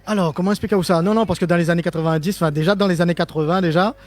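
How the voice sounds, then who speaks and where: A man's voice: sits high, steady tone, very fast, no room sound. An older man from Réunion speaking French with a Creole-inflected accent, a musician guest in a casual live interview who rambles, restarts and laughs often.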